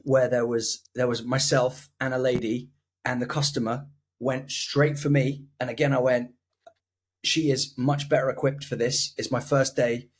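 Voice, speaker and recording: A man with a deep voice telling a self-deprecating anecdote in casual podcast chat. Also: flat delivery, moderate pace, slightly rough. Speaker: podcast co-host.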